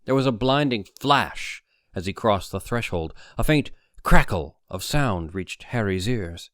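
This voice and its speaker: A man's voice: on the low side, animated, measured pace, a bit bright, no room sound, studio quality. A man reading a story aloud as an audiobook narrator, in dramatic scripted narration.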